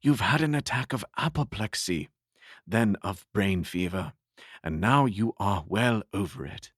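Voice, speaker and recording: A man voicing a calm male doctor reassuring a patient, in a raised voice. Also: fairly low pitch, unhurried, breathy edge, no echo, clean studio sound, pitched above the performer's own voice, heavily acted. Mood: soothing.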